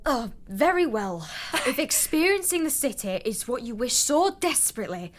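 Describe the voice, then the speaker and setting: An actress playing a loyal, anxious maidservant in a radio drama, deferential and hesitant. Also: female voice, fairly high pitch, very animated, normal speed. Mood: reluctant, anxious.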